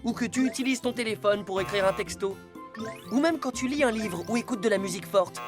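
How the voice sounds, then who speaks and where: A woman's voice: fairly high pitch, brisk, over music, close to an everyday voice. A woman voicing a kindly, protective rescue car that teaches children in an earnest, instructive way. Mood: serious, caring. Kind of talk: dubbed children's cartoon acting.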